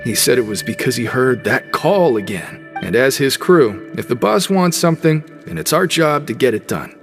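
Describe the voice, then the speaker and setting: An actor playing a blunt, loyal young prison gang member in a video game, with a street-tough manner. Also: male voice, mid-range voice, animated, moderate pace, gravelly. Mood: earnest. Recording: long reverb, music behind.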